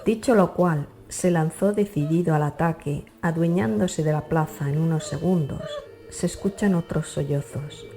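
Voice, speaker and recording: Low, mellow woman narrating a story. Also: moderate pace. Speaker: audiobook narrator. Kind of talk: scripted literary narration.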